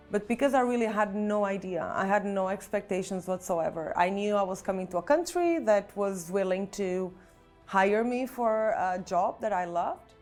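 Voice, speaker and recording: A woman with a Portuguese accent speaks English thoughtfully, pausing and restarting her sentences as she reflects. Her pitch sits at an ordinary middle level for a woman. Soft music plays faintly behind her. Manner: reflective, frequent restarts and fillers. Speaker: nurse. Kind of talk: personal testimony interview.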